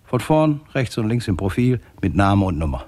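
A thoughtful witness in a sixties radio crime play. His voice sits low, and he recounts in a measured way, pausing to search his memory. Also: very animated, a little mellow, dry recording. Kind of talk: 1960s German radio crime drama acting.

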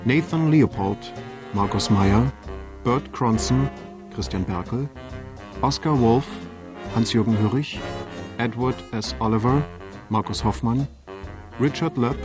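A man's voice: medium pitch, slow, long pauses. A man formally announcing the title and cast credits of a radio play. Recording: studio announcement over music. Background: music with brass and guitar.

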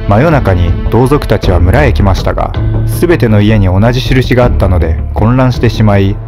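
Measured, low-voiced male storyteller. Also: animated, music in the background. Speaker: narrator. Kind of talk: scripted storybook narration.

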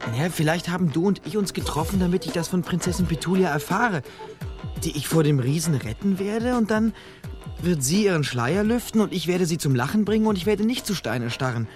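An actor playing a naive, excitable young prince in a fairy-tale radio play, earnest and melodramatic. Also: male voice, high-pitched, fairly quick. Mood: earnest, eager. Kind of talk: German radio play acting. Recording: studio radio play with music behind.

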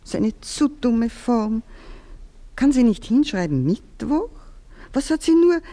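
An actress playing an imperious older baroness who runs her household with a sharp, commanding tongue in a radio play. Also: on the high side, big pitch swings, a little slow.

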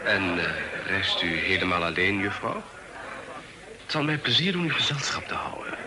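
An actor in a radio play voicing a forward, haughty gentleman, insinuating and stiffly polite. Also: low-pitched, very animated, moderate pace, a crowd in the background. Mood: forward, smooth. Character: forward, haughty gentleman with fine carriage, pressing company. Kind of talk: Dutch radio play acting. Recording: noisy old radio recording.

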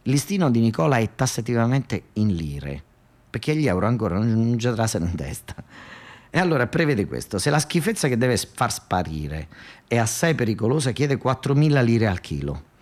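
A male actor-narrator with a southern Italian accent and a mid-range voice. He tells a satirical story in colloquial, emphatic language. His phrases are broken up by dramatic pauses. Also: medium pitch, big pitch swings, a little mellow. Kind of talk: satirical theatrical monologue, storytelling.

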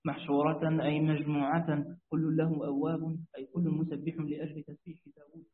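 A man's voice: fairly high pitch, flat delivery, a little fast. A man reading a classical commentary aloud carefully, with restarts, over a phone on a weak network from a rooftop. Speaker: student reading the text aloud. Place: rooftop outdoors. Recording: tinny phone-like line.

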